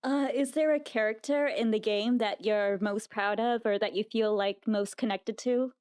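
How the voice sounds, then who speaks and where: Higher-voiced woman interviewer, close dry sound. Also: steady tone, normal speed, darkish tone, clean tone, no room sound. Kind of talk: casual chat.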